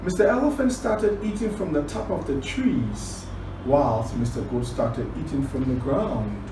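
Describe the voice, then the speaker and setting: A man from Liberia telling a children's story and teaching words, deliberate, repeating phrases for emphasis. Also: a little high, expressive. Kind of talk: children's storytelling and word lesson. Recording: roomy, distant, clearly noisy recording.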